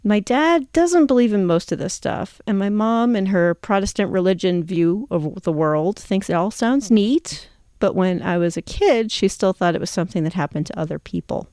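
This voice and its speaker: A woman's voice: medium pitch, lively intonation, moderate pace, warm tone, no room sound, clean studio sound. A woman in her fifties hosting a show, telling a personal story in a reflective, conversational way. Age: 50s. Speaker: show host.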